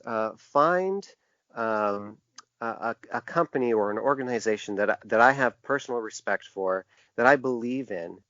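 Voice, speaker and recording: Male podcast guest, conversational and halting, explanatory answers; dry, slightly lo-fi sound. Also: mid-range voice, fairly bright tone, no room sound. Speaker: podcast guest, typographer and graphic designer. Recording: dull, lo-fi, dry podcast audio.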